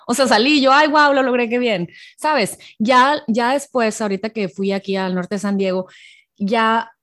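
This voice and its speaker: A woman hosting an interview, chatty and casual, full of quick fillers and restarts. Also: a little high, a bit bright. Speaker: interview host.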